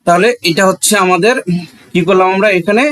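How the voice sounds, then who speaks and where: A man's voice: high-pitched, steady tone, brisk, a bit bright, no room sound. A man with a Bangladeshi accent teaching in an explanatory, didactic way, asking rhetorical questions and repeating key phrases.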